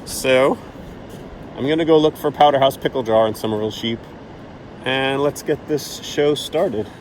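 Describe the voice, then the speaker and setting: A man's voice: mid-range voice, moderate pace, background noise. A man hosting a children's storytime show, telling a playful adventure story in a theatrical manner. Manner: theatrical, acting out his own adventure.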